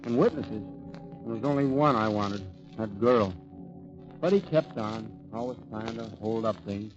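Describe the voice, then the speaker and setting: A man's voice: fairly low pitch, measured pace, lightly acted. An actor playing an earnest, bewildered young man accused of murder, who also narrates, in 1940s radio drama style. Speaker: narrator and lead. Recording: dull old radio recording with music.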